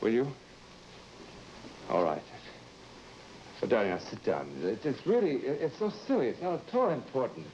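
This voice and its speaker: An actor playing an anxious, secretive married lawyer in a vintage broadcast drama, speaking in a halting, pleading, evasive way. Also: male voice, medium pitch, very animated, a little mellow, close to an everyday voice. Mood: strained, pleading. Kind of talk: vintage mid-century broadcast drama acting. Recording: dull, noisy vintage broadcast recording.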